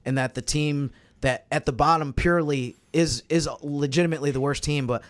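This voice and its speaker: A man in a casual sports discussion, reasoning through his argument in a measured way. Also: fairly high pitch, fairly even intonation. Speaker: sports podcast panelist.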